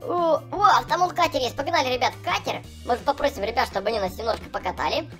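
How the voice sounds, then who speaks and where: Man putting on an excitable, high-pitched cheerful clown. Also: quick pace. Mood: cheerful, chatty. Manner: gushing, exclamatory, chatty. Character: cheerful young male clown entertaining small children. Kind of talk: excitable children's gameplay narration. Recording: voice over background music. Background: music.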